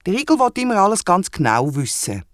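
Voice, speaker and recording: Children's storyteller, Swiss German accent, scripted narration, medium pitch, dry recording. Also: very animated, fairly quick, dry recording.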